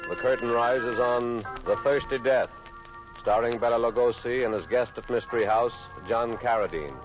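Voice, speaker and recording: A man's voice: mid-range voice, moderate pace, music in the background, natural voice. A man playing an ominous storyteller, narrating 1940s radio horror dramatically. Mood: ominous, urgent. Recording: dull, hissy vintage radio recording.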